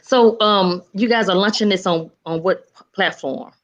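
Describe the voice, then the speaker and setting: A woman's voice: mid-range voice, moderate pace, highs cut off. A woman interviewer chatting casually, with an encouraging, affirming way of talking. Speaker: interviewer, show host.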